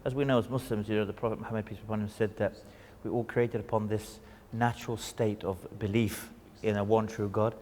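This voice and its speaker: A male talk show host who talks quickly and smoothly, his voice sitting a bit low. He opens with Arabic greetings and asks questions in a fluent, steady way. The studio sound has a long echo and a faint hiss underneath.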